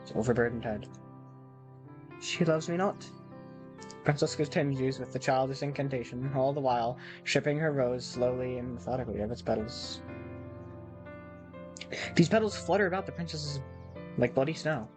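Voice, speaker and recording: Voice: medium pitch, fairly quick, music in the background. An amateur gamer reading a visual novel aloud in a theatrical storybook manner, with casual asides. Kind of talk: expressive scripted narration with casual asides.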